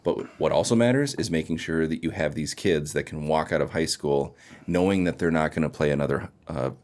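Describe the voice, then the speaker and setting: An American man hosting a casual podcast, talking in an informal, slangy, enthusiastic way. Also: a bass voice. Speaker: podcast host.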